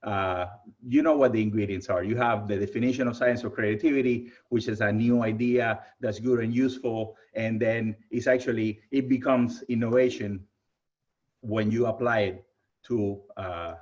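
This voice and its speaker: A man's voice: on the low side, fairly even intonation, moderate pace, a little mellow. Cuban-accented man on a muffled video call. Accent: Cuban Spanish. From Cuba. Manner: enthusiastic, friendly, addresses audience as "you guys". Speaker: keynote speaker. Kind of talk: virtual presentation talk.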